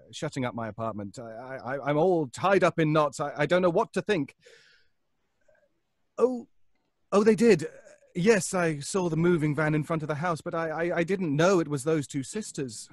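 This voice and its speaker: A man acting a nervous, scheming fellow in an old-time radio suspense play, with a halting, stammering, pleading delivery. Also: a little high, no room sound, natural voice. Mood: anxious, weary. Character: nervous, obsessive, scheming middle-class man.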